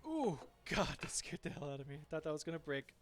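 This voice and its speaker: Soft-spoken man chatting through a cooking stream. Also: fairly high pitch, quick pace. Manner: relaxed, chatty, talks to viewers while working. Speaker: streaming home cook. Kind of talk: casual live cooking stream, reading chat aloud. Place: home kitchen.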